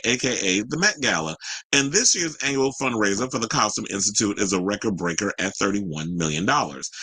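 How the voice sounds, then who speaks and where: A man with a fairly low yet bright, punchy voice hosts an entertainment news show, recorded close and dry. He moves between reading the news and casual, opinionated commentary in slangy, conversational phrasing. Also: husky, dry recording, poor audio. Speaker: talk show host.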